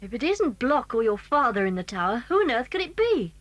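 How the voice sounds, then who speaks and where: Lively, high British schoolboy. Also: female voice, a little fast, no echo. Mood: excited, curious. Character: keen, bold, well-spoken British schoolboy. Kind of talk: 1980s British children's audio drama acting.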